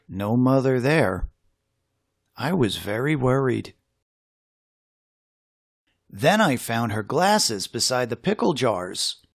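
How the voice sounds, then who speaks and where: A man reading a children's story aloud in an expressive, dramatic way with suspenseful pauses. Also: medium pitch, no room sound, studio quality. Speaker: storybook reader.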